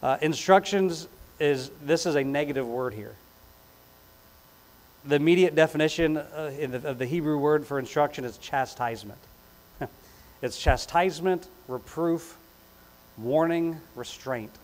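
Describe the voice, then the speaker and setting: Higher-pitched man preaching emphatically. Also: a little slow, long pauses, darkish tone, poor audio. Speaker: pastor. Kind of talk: sermon. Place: indoors on a church platform. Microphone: lapel mic.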